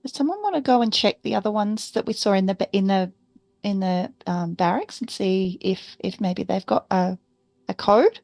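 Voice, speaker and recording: A woman's voice: mid-range voice, lively intonation, normal speed, gravelly, darkish tone, no room sound. A woman chatting casually as a player in a tabletop RPG. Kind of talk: casual game-table chat.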